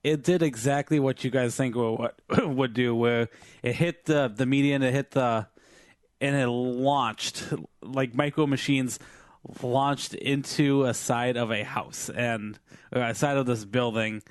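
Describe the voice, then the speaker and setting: A man co-hosting a podcast, slightly hoarse from singing, reading out news stories in rambling casual chat full of fillers and restarts. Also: medium pitch, steady tone, unhurried, no room sound, clean studio sound. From Filipino family background. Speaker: podcast co-host.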